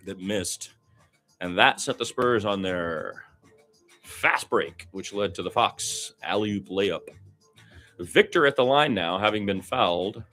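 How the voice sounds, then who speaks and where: A man's voice: mid-range voice, fairly bright tone. A man doing live radio play-by-play of basketball, describing the action in running detail with short exclamations and asides.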